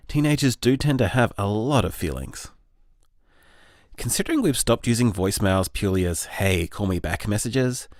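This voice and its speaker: A gentle young boyfriend , played by a man, talks in an audio drama. The voice is lowish with lots of melodic movement, recorded dry. The manner is bashful, thoughtful and a little hesitant, like casual conversation. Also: dry recording.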